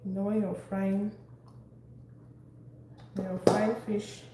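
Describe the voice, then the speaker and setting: A woman from Cameroon, hosting a casual cooking live stream and chatting warmly with viewers by name as she cooks in her kitchen. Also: medium pitch, flat delivery, takes it slowly. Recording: echoey room, faint background noise.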